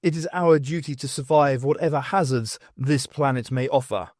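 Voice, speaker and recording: An audiobook narrator voicing a vain, excitable male scientist who declaims grandly. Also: mid-range voice, moderate pace, no echo, clean studio sound, lightly acted. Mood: grand, excited.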